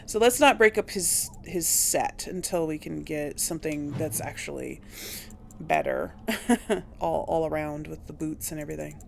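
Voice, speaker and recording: A woman with a fairly low, creaky voice talks her way through a video game in a slow, musing fashion. She hosts casually, halting and thinking out loud to herself, with some game ambience behind her. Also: very animated, a little hiss or hum. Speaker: host of a video game playthrough. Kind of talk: casual gameplay commentary, thinking aloud.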